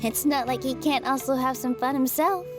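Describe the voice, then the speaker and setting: A kind, self-effacing young dancer, voiced by a woman in a video game. Her voice sits high and light, and she speaks softly and politely. Game music runs underneath. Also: moderate pace, close to an everyday voice. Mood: gentle. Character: gentle young female dancer, kind and modest. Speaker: game character.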